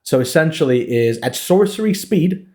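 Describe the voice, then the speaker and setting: A man's voice: on the high side, expressive, moderate pace, darkish tone. Brief: man, late twenties, laid-back podcast co-host who edits the show, laughs easily, casual chat. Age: 20s.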